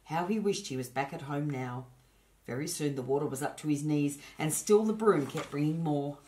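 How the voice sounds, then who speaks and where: A woman with a low-pitched voice reads a children's bedtime tale. She is the host and storyteller, expressive in her telling and chatty toward little listeners.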